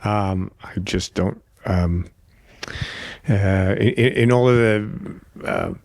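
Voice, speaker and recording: A man of sixty giving brief, reserved and halting answers as a dating show contestant. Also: fairly low pitch, lively intonation, vocal fry, darkish tone, dry recording.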